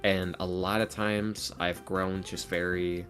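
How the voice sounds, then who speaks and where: A man's voice: low voice, flat delivery, takes it slowly. A man in his early twenties chatting casually as a podcast guest, rambling along in an informal, slangy way. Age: early 20s. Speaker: interview guest, ASMR roleplay creator and voice actor. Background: soft guitar music.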